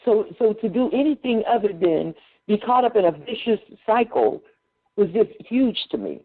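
A woman's voice: mid-range voice, big pitch swings, normal speed. Talkative woman telling her story by phone. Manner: talkative, candid, restarts often. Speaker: guest speaker and poet. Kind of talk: personal storytelling on a call. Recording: tinny phone line.

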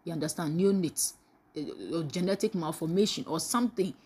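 Woman preacher, lowish husky voice, questioning the listeners and acting out their excuses. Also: fairly quick, a little mellow. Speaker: preacher leading a daily devotional. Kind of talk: live devotional sermon.

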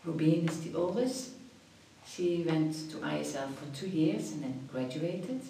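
A woman's voice: low voice, expressive, unhurried, gravelly, warm tone, heavy reverb, far from the mic, a little hiss or hum, rough recording. A Dutch woman in her fifties, chatty, answering interview questions and often restarting her phrases. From Netherlands. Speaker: after-school activities coordinator.

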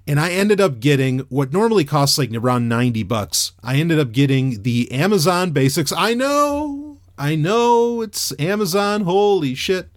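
A man with a loud, radio-trained voice, chatting casually as a podcaster reviewing a microphone. He rambles with many fillers and restarts. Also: on the high side, very animated, moderate pace, hardly any pauses, no echo, clean studio sound.

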